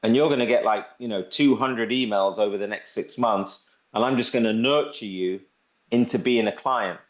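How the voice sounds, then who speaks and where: A man's voice: on the low side, normal speed. A man presenting an informal product demonstration in conversational talk. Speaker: presenter demonstrating a phone assistant. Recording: dull lo-fi audio.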